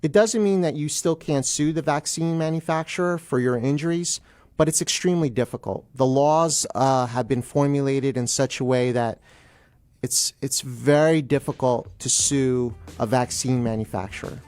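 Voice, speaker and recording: A man speaking as a guest attorney in a radio interview, explaining plainly in halting phrases. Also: mid-range voice, a little mellow, no echo.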